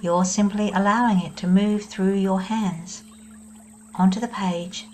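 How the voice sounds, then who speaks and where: A woman guiding a meditation in a soft, soothing voice, speaking in calm, unhurried phrases. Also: mid-range voice, a little hiss or hum. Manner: soft, slow and soothing, with long pauses. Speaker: meditation guide. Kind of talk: guided meditation.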